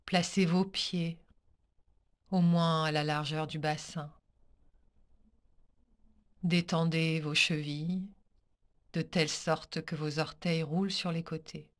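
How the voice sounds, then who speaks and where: Female yoga nidra guide, low register, very unhurried delivery, calm and soothing. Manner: calm, soothing, measured instructions with pauses. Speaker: yoga nidra teacher guiding a practice. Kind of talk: guided relaxation meditation.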